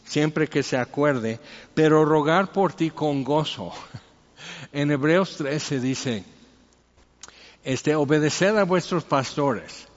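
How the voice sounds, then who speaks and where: A man with a Mexican accent giving an informal, conversational sermon as a pastor, rambling with joking asides. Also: fairly high pitch. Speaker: pastor preaching. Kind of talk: informal expository sermon with anecdotes. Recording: echoey hall, dull muffled sound.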